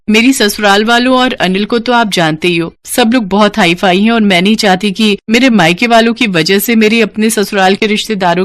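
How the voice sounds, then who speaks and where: A woman voicing a rich married sister-in-law who is snobbish and pointed, in an animated moral story. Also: fairly high pitch, no room sound, lightly acted. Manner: condescending, pointed.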